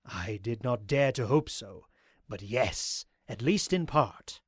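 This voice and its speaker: A man's voice: medium pitch, animated, takes it slowly, breathy edge, no echo, studio quality. A man playing a zealous, courteous pony cult leader in an audio drama, speaking in a formal, grandiose way. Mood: reverent, exultant.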